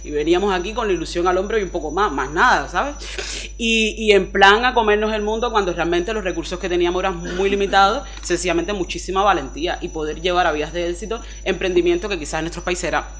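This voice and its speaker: A woman from Cuba with a Cuban Spanish accent, speaking on a forum panel in an expressive, chatty way, often ending phrases with quick tag questions. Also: lowish voice, a little hiss or hum. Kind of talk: panel discussion talk.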